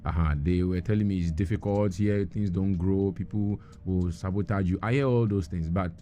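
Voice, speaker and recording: A man's voice: low-pitched, fairly even intonation, normal speed, mellow, soft background music. A middle-aged man who grew up in Nigeria, a guest in a radio interview, telling his story earnestly with many ums and restarts. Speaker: guest entrepreneur.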